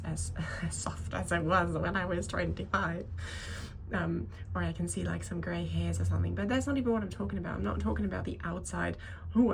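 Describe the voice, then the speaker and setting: A thirty-something woman talks to her viewers in an unscripted vlog. Her voice sits a little low and is full of vocal fry. She rambles hesitantly, correcting herself as she goes, over faint background noise. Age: 30s. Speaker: video creator talking to viewers. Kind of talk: unscripted personal vlog monologue.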